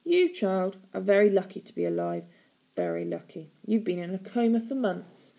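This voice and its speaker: Wicked storybook aunt female reader: cruel, gloating, patronising, theatrical, with creaky edges. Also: mid-range voice, animated, normal speed, dull audio. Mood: sickly sweet. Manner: mocking baby talk, theatrical. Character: gloating villainous aunt, mocking, cruel and sickly-sweet.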